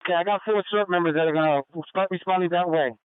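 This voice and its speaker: Male police officer radioing in, high clear voice, procedural and terse, band-limited radio transmission. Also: fast talker, no room sound, narrow, tinny audio. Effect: two-way police radio. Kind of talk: police field radio reports.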